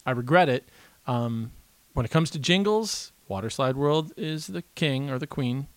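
A man's voice: mid-range voice, expressive, moderate pace, no echo. A man co-hosting a podcast, talking casually, rambling with fillers and laughing often. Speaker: podcast co-host. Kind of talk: casual chat.

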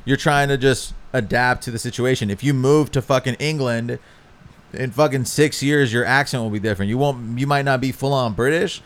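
A man co-hosting a casual podcast chat, animated and swearing often, sometimes slipping into mock voices. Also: mid-range voice, a little fast.

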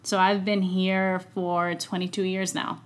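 A woman's voice: on the low side, level tone, a little slow. A woman in her thirties, a coach born in Iran, chatting casually and upbeat as a podcast guest. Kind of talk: casual interview chat.

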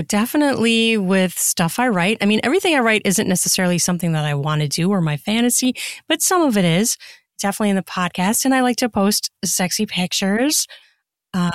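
A woman with a mid-pitched voice talks as a guest on a podcast. She is candid and earnest in a casual, conversational way, and the sound is dry. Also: no echo. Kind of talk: casual interview chat.

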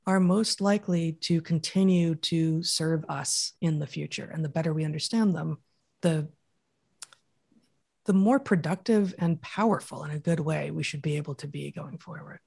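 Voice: medium pitch, creaky, a little mellow. A podcast guest who answers at length in a thoughtful, lecturing way, building each idea step by step. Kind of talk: explanatory interview answers.

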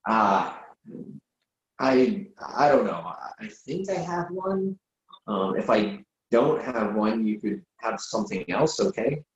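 A man plays an exasperated, reasonable guy humoring a friend late at night in a comic play reading. His pitch swings widely as he stammers in disbelief. The sound is roomy and a little dull. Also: sing-song, slow pace. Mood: hesitant. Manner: hesitant, stammering, incredulous.

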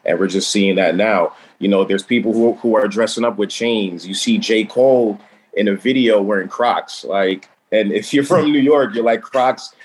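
Man, remote guest, low voice, easygoing, faint background noise. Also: moderate pace, low-quality recording. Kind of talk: casual interview chat. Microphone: remote call connection.

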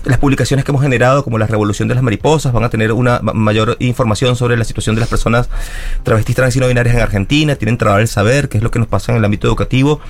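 A guest from Venezuela, speaking earnestly in long explanatory runs with small restarts during a radio interview. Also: male voice, medium pitch, steady tone, racing. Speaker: guest, academic secretary of a school. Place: radio studio.

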